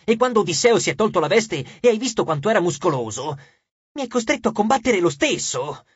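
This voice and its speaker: Man voicing a wary young demigod, probing, hesitant, husky, lo-fi. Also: high-pitched, sing-song, a little fast, no echo, voice pushed up, heavily acted. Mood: accusing.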